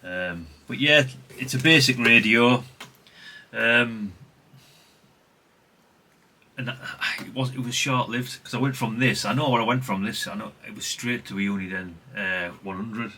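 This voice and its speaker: A man's voice: mid-range voice, a little slow, pushed and bright, slight background noise. A middle-aged British man talking casually in a rambling, chatty show-and-tell way, often correcting himself and adding tag questions. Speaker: hobbyist video host.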